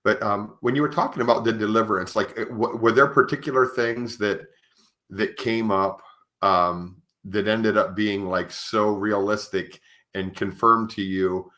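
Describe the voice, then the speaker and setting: A man's voice: fairly low pitch, fairly even intonation, unhurried, fairly bright tone. A man hosting a conversational podcast interview, speaking informally and often tagging his phrases with "you know". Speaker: podcast host.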